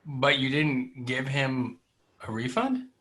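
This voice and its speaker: A man's voice: mid-range voice, sing-song, a bit bright. A man hosting a group call, asking pointed follow-up questions and inviting others to speak. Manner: probing, quick follow-up questions. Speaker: show host.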